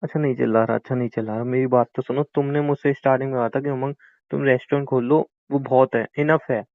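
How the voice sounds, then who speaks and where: A man's voice: medium pitch, steady tone, fast talker, dry recording, narrow, tinny audio, natural voice. A young man playing a hurt boyfriend who pleads and argues bitterly in a naturalistic audio drama of a couple's call. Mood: pleading, insistent. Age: young adult. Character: wounded, pleading young boyfriend with a failed business.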